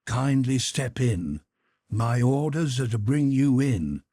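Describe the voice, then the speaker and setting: A gigantic footman blocking the way in a deep bass, as a male narrator puts him on. He is formal and unyielding. The voice is low and husky. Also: lively intonation, slow, mellow, dry recording. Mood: stern.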